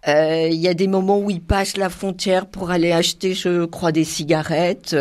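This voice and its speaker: A woman guest on a film panel talks with eager, expressive energy. She keeps chiming in with agreement while she praises the film. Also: on the low side, moderate pace. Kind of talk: casual film review chat.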